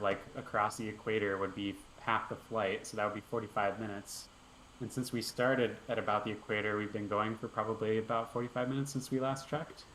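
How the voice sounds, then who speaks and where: A man with a fairly low voice co-hosts a casual podcast chat, rambling happily through his stories. His mic picks up an echoey room with a faint hiss underneath. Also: fairly bright tone. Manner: rambling, filler-heavy, upbeat. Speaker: podcast co-host. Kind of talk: casual chat over a call.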